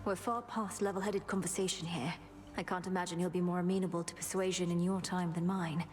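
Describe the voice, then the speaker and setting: An actress playing a determined, fierce young sorceress princess in a video game, speaking in formal, archaic, earnest phrases. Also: female voice, lowish voice, flat delivery, a little fast, soft background music, close to an everyday voice. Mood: calm resolve. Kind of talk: dramatic video game acting.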